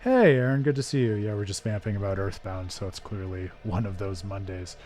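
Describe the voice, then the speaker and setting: A hoarse man hosting a live game stream, talking through his thoughts in a thoughtful, digressive way with frequent restarts. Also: lowish voice, brisk, a little mellow. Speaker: streamer and host.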